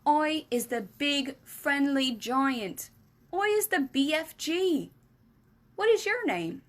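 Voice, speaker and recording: A woman's voice: high voice, lively intonation, takes it slowly, voice pushed up. A woman voicing a big friendly male giant for a children's storybook, exuberant and kindly, with mangled grammar and emphatic nonsense words. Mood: indignant, then proud. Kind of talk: animated children's storybook reading.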